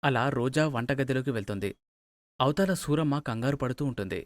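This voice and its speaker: A man's voice: mid-range voice, fairly quick, no room sound. A man narrating a scripted moral story.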